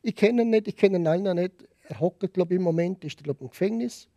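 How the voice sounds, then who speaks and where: A man with a Liechtenstein accent telling his personal story candidly as a podcast guest, emphatic and repeating phrases, in a gravelly, husky voice. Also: high voice, fast talker, mellow, no echo.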